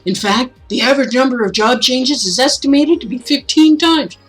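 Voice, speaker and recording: Lady doing an older guy mayor in a visual novel, folksy speechmaker, jokey n encouraging, bit husky. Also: high-pitched, moderate pace, faint music behind, pitched above the performer's own voice. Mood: earnest, encouraging. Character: affable older male mayor giving a folksy, rousing speech.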